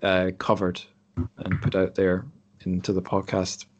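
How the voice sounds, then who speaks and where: A man's voice: low voice, completely flat delivery, unhurried, lo-fi sound, a little hiss or hum. A man hosting a podcast, talking casually in a rambling, reflective way. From Scotland. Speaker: podcast host. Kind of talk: casual conversation. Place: group online call.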